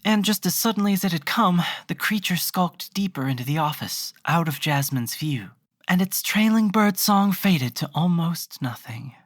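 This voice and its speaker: A narrator reading scripted horror fiction in a measured, literary storytelling manner. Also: medium pitch, lively intonation. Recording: faint noise and ambience behind voice.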